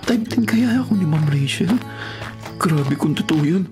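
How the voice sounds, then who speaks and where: Stammering, swooping humble Filipino gardener. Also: male voice, a little high, lively intonation, measured pace. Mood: giddy, smitten. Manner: stammering, deferential. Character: humble, timid young gardener smitten with heiress. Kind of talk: Filipino radio drama acting. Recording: music bed under the voice.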